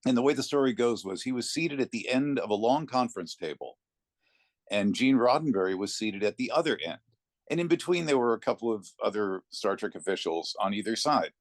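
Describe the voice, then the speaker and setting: A man talking conversationally as an interview guest, telling anecdotes in a reflective way with thoughtful pauses. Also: mid-range voice, expressive, no room sound. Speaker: interview guest, narrator of classic readings.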